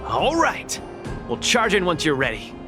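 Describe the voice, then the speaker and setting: An upbeat young fighter from an anime-style game. His voice sits fairly high and swings animatedly, breezy, teasing and sure of himself. Music runs behind him. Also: wildly swooping pitch, a little slow, full character voice. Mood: cocky, fired up. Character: cocky, upbeat young male fighter eager to train others.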